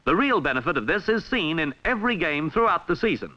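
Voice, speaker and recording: A man with an Australian accent reading a scripted 1960s instructional film narration in a formal, measured, emphatic way, on a vintage film soundtrack. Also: on the high side, very animated, sharp tone, rough recording.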